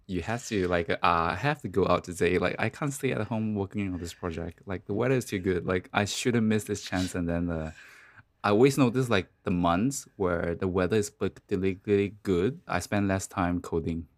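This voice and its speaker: Deep-voiced man chatting casually. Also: normal speed, no echo. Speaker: podcast co-host. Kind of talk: casual chat.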